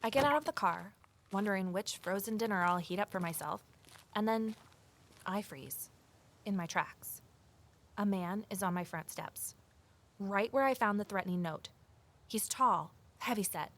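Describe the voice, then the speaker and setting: A woman's voice: mid-range voice, moderate pace, pauses often, a bit bright, lightly acted. An actress playing an earnest, self-doubting young woman sleuth in an audio drama, speaking in a wry, conversational way. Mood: tense, anxious. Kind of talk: naturalistic audio drama acting and first-person narration.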